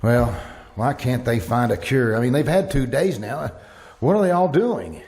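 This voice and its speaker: A man playing an anxious college professor in an old-time radio thriller, earnest and halting. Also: mid-range voice, lively intonation, a little mellow, natural voice. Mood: anxious, questioning. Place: live staged performance at a winery.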